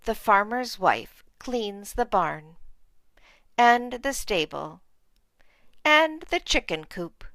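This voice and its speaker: A woman's voice: mid-range voice, expressive, slow, long pauses, a bit bright, no echo. A woman reading a children's storybook aloud as narrator. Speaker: storybook reader.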